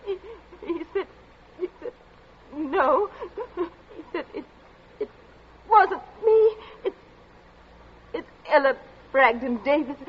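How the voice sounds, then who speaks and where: A woman playing a frightened, distraught young daughter in a radio drama, halting, stammering and tearful as she answers her stern father. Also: sits high, expressive. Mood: sobbing, pleading. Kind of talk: 1940s radio drama acting. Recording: muffled, noisy old radio recording.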